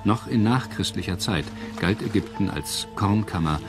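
A male narrator with a very low voice delivers a scripted documentary. His phrasing is measured and articulate, like a storyteller's. Music plays clearly behind him. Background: background music.

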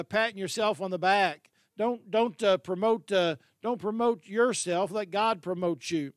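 A man's voice: high-pitched, clean studio sound. An older man preaching a sermon as pastor, earnest, with fillers and repeated words, holding a handheld mic in a large unfinished hall.

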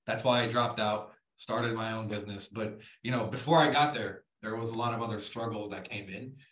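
A man's voice: lowish voice, steady tone, fairly quick, a bit bright. A young man speaking as an interview guest, punchy and persuasive, asking rhetorical questions, on a studio mic over a video call. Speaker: guest entrepreneur. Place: room at home. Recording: roomy echo.

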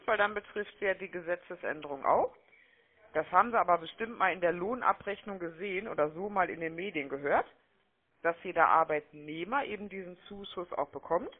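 A woman making a phone sales pitch, chatty and persuasive, often checking for agreement, heard over a telephone line. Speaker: telemarketing caller. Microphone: telephone call.

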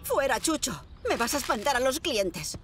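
High-pitched, fussy, excitable cartoon mother. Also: moderate pace, faint music behind. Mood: scolding. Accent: Spanish from Spain. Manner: dramatic, scolding. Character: excitable, fussy shopkeeper mother, easily alarmed. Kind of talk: dubbed children's cartoon acting.

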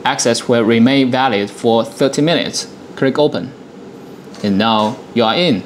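Man presenting software step by step, noisy recording. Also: medium pitch, a little slow. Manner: explanatory, step-by-step instruction. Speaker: product presenter. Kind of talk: software demonstration in a two-person dialogue.

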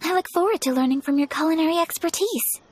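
An actress playing a shy, courteous young noblewoman in a video game, soft-spoken and formal. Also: very high voice, fast, slight background noise. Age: young adult. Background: game music.

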